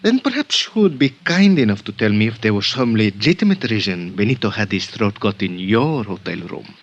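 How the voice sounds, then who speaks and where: A man playing a polite but firm, suspicious Mexican police lieutenant with a Mexican accent in 1950s radio drama, formal and measured, on a dull vintage recording. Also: lowish voice, big pitch swings.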